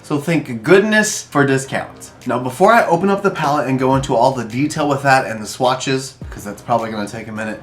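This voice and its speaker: A man with a congested, nasal voice from a cold, chatting through a makeup product review and listing items slowly and carefully. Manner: chatty and expressive, lively jumps in pitch. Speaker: beauty vlogger reviewing makeup. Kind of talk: upbeat product review vlog.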